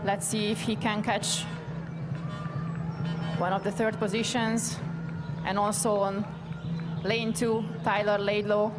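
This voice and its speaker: A woman commentates a sprint canoe final live, moving between two languages without losing her even, level delivery. Her pitch sits in the middle and barely rises or falls. Loud music plays under her voice the whole time. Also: long pauses. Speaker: race commentator.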